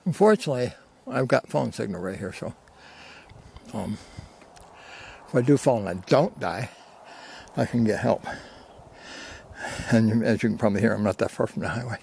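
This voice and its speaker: A man's voice: mid-range voice, moderate pace, gravelly, long pauses, a little mellow, a little hiss or hum. A man of about seventy narrating his hike casually, in a folksy, self-deprecating way, into a handheld camera outdoors. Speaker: hiking vlogger. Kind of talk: casual narration while hiking. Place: outdoors on a rocky desert mesa slope. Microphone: handheld camera at arm's length.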